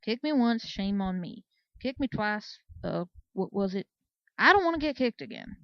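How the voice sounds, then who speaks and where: A woman's voice: mid-range voice, sing-song, normal speed, no room sound, lo-fi sound. A woman voicing a young, scornful male Pegasus soldier in a storybook, grumbling and impatient.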